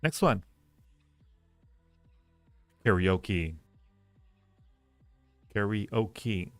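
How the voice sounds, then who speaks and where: A man hosting a casual livestream, musing aloud in fragments as he talks with viewers in a gravelly voice. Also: lively intonation, drawn-out words, dry recording, soft background music. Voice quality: low-pitched, gravelly creak. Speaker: livestream host reading viewer chat.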